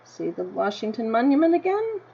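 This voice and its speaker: An American woman teacher reading a picture book aloud to children, deliberately, with simple explanatory asides and questions to her listeners. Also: fairly high pitch, very animated, warm tone, slightly rough. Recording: dull lo-fi sound with noticeable background noise.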